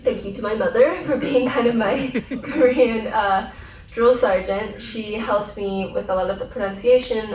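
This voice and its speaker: A woman of middle pitch gives articulate, reflective answers as a guest at a film Q&A, now and then breaking into a light laugh. Her voice sounds distant and roomy, carried through a large theater. A faint hum sits underneath. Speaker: actress, festival guest. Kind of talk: post-screening Q&A answers.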